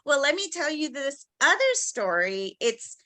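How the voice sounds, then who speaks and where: A woman's voice: sits high, a little slow. A woman, a school board trustee, telling stories as a podcast guest and laughing often. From Texas. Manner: lively, laughs often, acts out dialogue. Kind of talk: conversational storytelling.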